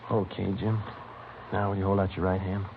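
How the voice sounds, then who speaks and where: A methodical American police sergeant with a very low voice, matter-of-fact and understated. He speaks in the terse procedural manner of an old radio crime drama. The sound is thin and band-limited, with clear vintage noise. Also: little pitch movement, brisk, phone-quality sound. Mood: businesslike.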